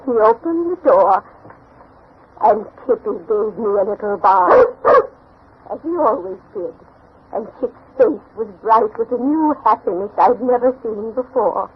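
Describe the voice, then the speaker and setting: A woman's voice: a little high, expressive, measured pace, background noise. An actress playing a grieving, fearful elderly grandmother in a dramatic, emotional 1940s radio drama style. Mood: reminiscing. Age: older. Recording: muffled old radio broadcast.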